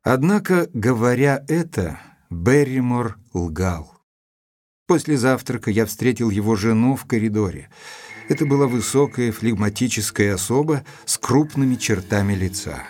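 An actor playing a thoughtful gentleman investigator, narrating a mystery in a measured, deliberate literary style. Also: mid-range voice, animated, dry recording, studio quality, close to an everyday voice. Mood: measured, suspicious. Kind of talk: dramatized first-person literary narration.

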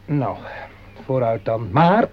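An actor playing an awkward, cautious gentleman, hesitant and protective, in a muffled radio play with some noise. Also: mid-range voice, animated, takes it slowly. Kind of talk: Dutch radio play acting.

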